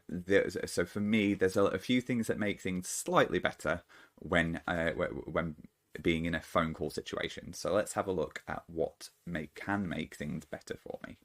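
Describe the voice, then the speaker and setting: Deep-voiced man talking haltingly. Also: fairly bright tone. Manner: halting, thoughtful, often restarts sentences. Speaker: channel host. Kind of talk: unscripted solo talk to camera.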